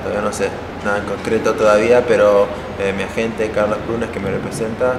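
Young man, low voice, echoey room. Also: fairly quick, noisy background. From Argentina, raised in the United States. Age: young adult. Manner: relaxed, many "eh" fillers, laughs easily. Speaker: basketball player, interviewee. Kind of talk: casual interview answers. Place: indoor room, seated at a table.

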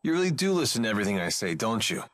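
Cynical, guarded game rogue, male, lowish voice, dryly sardonic, close dry sound. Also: very animated, rapid-fire, fairly bright tone, no room sound. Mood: teasing, world-weary. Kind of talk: English game voice acting.

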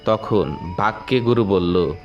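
A man narrating a children's moral story in a measured, moralizing way. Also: on the low side, lo-fi sound. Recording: music under narration.

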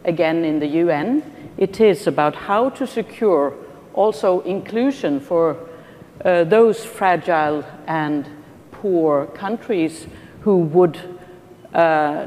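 Norwegian woman on a big panel stage, slow and hesitant, lots of hall reverb, faint rumble. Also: expressive, warm tone. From Norway. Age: middle-aged. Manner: deliberate, hesitant with many uh pauses. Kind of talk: panel discussion. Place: large conference hall stage.

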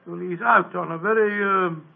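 An actor playing a bumbling, blustery middle-aged doctor who stammers and hems, in 1940s radio acting on a tinny old broadcast. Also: male voice, high voice, fairly even intonation, moderate pace, heavily acted. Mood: brisk. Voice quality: husky, stammering with ums and uhs.

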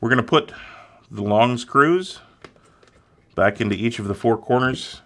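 A man's voice: medium pitch, measured pace, fairly bright tone, slight background noise. A man walking through an electronics repair step by step in a plain, methodical way. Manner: methodical, plain instructional delivery. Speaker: repair instructor.

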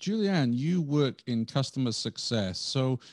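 A man hosting a casual interview over a video call from a home office, asking quick friendly questions. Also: mid-range voice, expressive, darkish tone, no room sound, studio quality. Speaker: podcast host.

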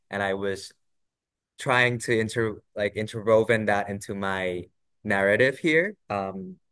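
Young guy from thailand on a video call, low smooth voice, lots of ums. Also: a bit bright, no room sound. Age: 20s. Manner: hesitant, many ums and restarts. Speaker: portfolio presenter. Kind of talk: casual presentation.

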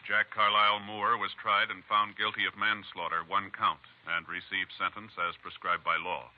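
Deep-voiced, solemn American male radio announcer. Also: level tone, close to an everyday voice. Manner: formal, deliberate. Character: solemn, formal male case-verdict announcer. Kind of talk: 1950s radio scripted narration. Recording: tinny, noisy vintage radio recording.

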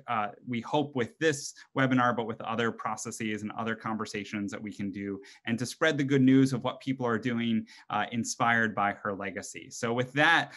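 A man moderating an online panel discussion informally over a video call, with halting, hesitant phrasing. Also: medium pitch, a bit bright. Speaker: webinar moderator and host; college professor. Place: indoor room at home, video call. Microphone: computer microphone on a video call.

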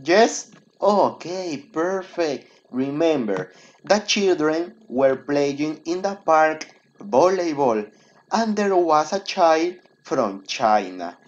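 A man's voice: a little high, animated, takes it slowly. A Peruvian man in his twenties, a native Spanish speaker, teaching schoolchildren in a recorded lesson with encouraging, simply repeated instructions. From Peru. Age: 20s. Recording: dull, lo-fi screen-recording audio.

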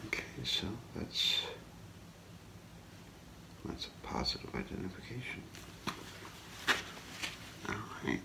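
A middle-aged man whispering softly and haltingly in an ASMR role play as a gemologist talking a client through an appraisal. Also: big pitch swings, very noisy. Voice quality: breathy low whisper with gravelly creak. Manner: hushed, unhurried, halting, explaining gently to a client. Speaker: ASMR performer playing a gemologist. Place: small indoor room, seated before a curtain.

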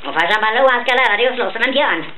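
A man voicing a playful, fatherly cartoon bear, his voice squeaky from helium. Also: lively intonation. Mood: playful, boisterous. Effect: helium-altered squeaky voice. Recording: faint background noise, amateur camera.

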